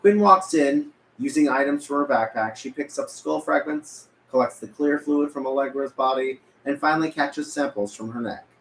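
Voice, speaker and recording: A man's voice: medium pitch, normal speed, vocal fry, hardly any pauses, fairly bright tone. Echoey male narrator of a screenplay. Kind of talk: scripted narration at a table read. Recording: echoey room, faint background noise.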